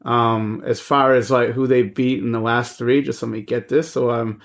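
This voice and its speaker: A man's voice: medium pitch, steady tone, normal speed. Easygoing man in his forties. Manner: easygoing, many fillers. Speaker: podcast co-host. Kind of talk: casual sports chat.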